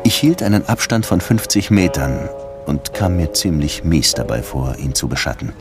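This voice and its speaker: A brooding middle-aged detective in a radio play. The voice is extremely deep, weary and confiding, moving between narration and dialogue, with music behind it. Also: male voice, big pitch swings, moderate pace. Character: brooding, world-weary male police detective in Havana. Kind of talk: radio drama dialogue and first-person narration.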